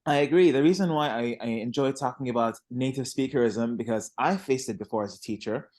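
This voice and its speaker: A man's voice: medium pitch, brisk, a little mellow. A man in his twenties, a native Arabic speaker, talking conversationally as an interviewer. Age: 20s. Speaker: interviewer, English teacher.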